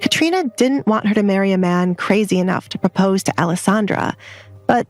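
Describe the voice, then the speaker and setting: Woman audiobook narrator, mid pitch, romance drama, music behind her. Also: a little fast, a little mellow, dry recording. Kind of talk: scripted romance story narration. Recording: background music under the voice.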